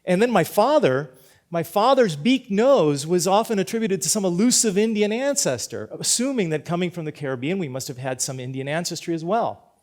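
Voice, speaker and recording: A middle-aged man from Cuba, a teacher, tells a personal story in an earnest, measured way. His voice sits a little above the usual male pitch. He restarts and repeats words as he goes. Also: expressive, a little mellow. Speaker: teacher giving a talk. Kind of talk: prepared personal talk.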